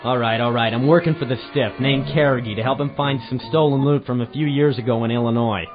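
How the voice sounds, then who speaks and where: A man playing a wry, deadpan private eye narrating his case in 1940s radio noir style. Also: medium pitch, quick pace, close to an everyday voice. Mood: sardonic. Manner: sardonic, deadpan. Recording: dull lo-fi audio with loud music.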